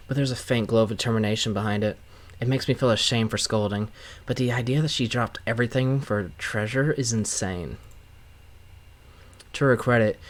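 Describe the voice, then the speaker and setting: A man with an American accent, a game streamer reading visual novel narration aloud. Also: fairly low pitch. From Missouri, United States. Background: guitar music.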